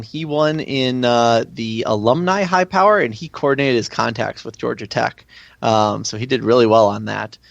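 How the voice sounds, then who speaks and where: A man's voice: mid-range voice, vocal fry, no room sound, dull audio. A man speaking as a guest in a casual interview, explaining things in a conversational way. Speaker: guest, amateur radio contest organizer.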